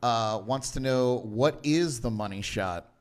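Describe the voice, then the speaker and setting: A man's voice: medium pitch, unhurried, clear, resonant tone. A man hosting a casual livestream chat, joking around and laughing easily. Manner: jokey, easygoing, laughs often. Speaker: podcast host.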